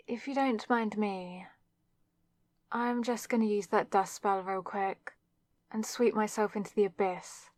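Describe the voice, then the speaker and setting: A woman's voice: mid-range voice, no echo, studio quality, lightly acted. A young woman playing a flustered, awkward student witch with a wry, self-deprecating manner in an ASMR roleplay, speaking straight to the listener. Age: young adult. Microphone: close microphone in a dry space.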